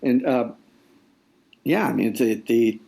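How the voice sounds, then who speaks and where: Guy author on a podcast, medium pitch w gravelly fry, explains stuff slowly building points, repeats phrases to land them. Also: mellow. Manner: emphatic, deliberate, repeats phrases for weight. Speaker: nonfiction author, podcast guest. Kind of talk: conversational interview, explaining craft.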